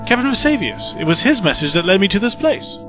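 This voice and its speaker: A man playing a brisk, cautious time-travelling investigator in an audio drama, crisp and authoritative. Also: sits high, big pitch swings. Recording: music bed under the dialogue.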